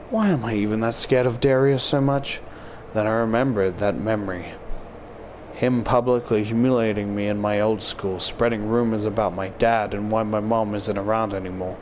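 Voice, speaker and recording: A man's voice: on the low side, a little mellow, slight background noise. A man reading first-person visual novel narration aloud as a YouTuber.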